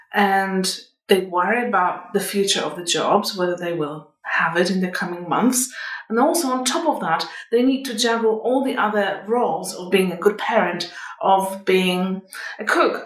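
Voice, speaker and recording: A middle-aged woman giving advice to viewers as a vlog host, in a measured, explanatory manner. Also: mid-range voice. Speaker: communication coach and vlog host. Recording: echoey room, distant microphone.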